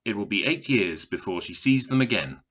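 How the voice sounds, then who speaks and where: A polished male news reporter in an audio drama. His voice is bright and projected, with formal announcer phrasing. The broadcast sound is a little dulled. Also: on the low side, expressive, brisk, muffled recording. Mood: formal, reporting. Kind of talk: scripted news broadcast commentary.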